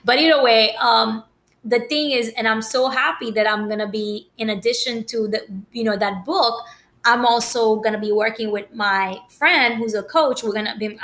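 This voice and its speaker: Woman from haiti, kinda higher voice, rambles a lot with ums and restarts. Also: sharp tone. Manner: rambling, many fillers and restarts. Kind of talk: casual personal talk.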